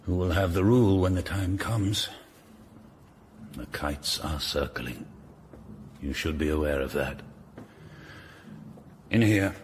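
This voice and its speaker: Very deep, stern older English Tudor lord. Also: male voice, takes it slowly, long pauses, a little hiss or hum. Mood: urgent, grave. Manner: commanding, formal, portentous. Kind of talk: radio drama acting.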